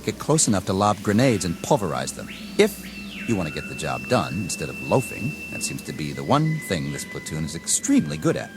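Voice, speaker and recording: An actor playing an arrogant, gung-ho young army lieutenant who barks harsh, contemptuous orders, in a TV drama with a loud score behind him. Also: male voice, deep voice, very animated, a little fast, music in the background. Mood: scornful. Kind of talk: 1960s TV drama acting.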